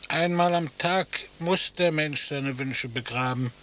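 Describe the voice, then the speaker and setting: An actor playing a haughty, unflappable gentleman in a radio play, with a pompous deadpan delivery. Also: fairly high pitch, measured pace. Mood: aloof. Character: haughty, unflappable husband, pompous beach meditator. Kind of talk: German radio play acting. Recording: faint tape hiss.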